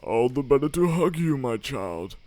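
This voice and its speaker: A man's voice: medium pitch, very animated, moderate pace, dry recording, pitched above the performer's own voice, natural voice. A man voicing a sly, hungry male wolf in a storybook, crafty and wheedling. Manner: crafty, wheedling, rising to loud growls. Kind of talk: storybook read-aloud.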